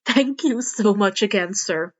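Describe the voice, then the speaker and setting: A woman's voice: mid-range voice, unhurried, pitched below the performer's own voice, close to an everyday voice. A woman voicing a grateful, tearful male crime victim in a read-aloud story, in a muffled recording. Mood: tearful relief. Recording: dull, muffled lo-fi home recording.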